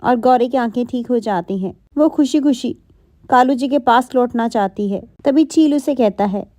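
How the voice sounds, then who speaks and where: A woman reads a scripted story for children in a high voice. The tone is mellow, and the recording is dry and close. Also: normal speed, no room sound. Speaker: narrator.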